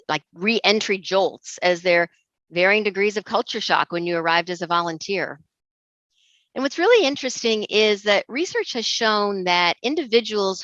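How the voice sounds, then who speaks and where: A middle-aged woman from Egypt giving a measured, earnest recorded presentation as an intercultural trainer. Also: mid-range voice, a bit bright, no room sound. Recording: dull dry lo-fi recording.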